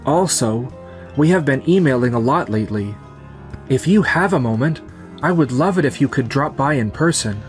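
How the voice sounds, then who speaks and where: A man's voice: mid-range voice, animated, moderate pace, faint music behind, natural voice. A man voicing a kind, sincere young businessman in a romantic comedy manga dub.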